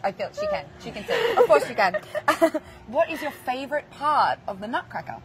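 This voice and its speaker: A woman's voice: sits high, huge pitch swings, normal speed, over a noisy crowd. A young woman hosting an entertainment show, gushing and enthusiastic, laughing often during a celebrity interview. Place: outdoor plaza set with passersby.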